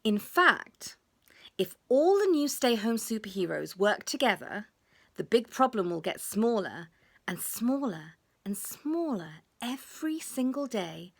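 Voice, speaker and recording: A woman voicing a gentle, reassuring mother in a children's storybook, soothing and encouraging as she explains simply. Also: a little high, very animated, a little slow, creaky, heavily acted. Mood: explaining.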